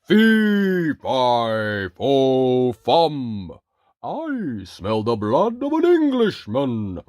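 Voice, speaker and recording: Booming, menacing giant. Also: huge pitch swings, a little mellow, dry recording, clean studio sound, heavily acted. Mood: menacing, hungry. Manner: slow, emphatic, chanting. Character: huge menacing man-eating male giant, booming rhyme.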